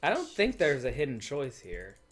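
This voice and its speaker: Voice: mid-range voice, wildly swooping pitch, moderate pace, faint music behind. A let's-player giving casual, irreverent reaction commentary with crude jokes while playing a game. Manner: irreverent, crude joking, mock-dramatic reading aloud. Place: indoors, voice over a visual novel playthrough.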